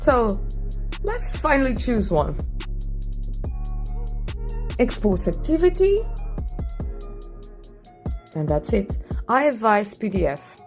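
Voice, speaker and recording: Female teacher-host, enthusiastic tutorial narration, soft music bed. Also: on the high side, sing-song, slow pace, pauses often. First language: Portuguese. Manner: enthusiastic, upbeat. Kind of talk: software tutorial voice-over.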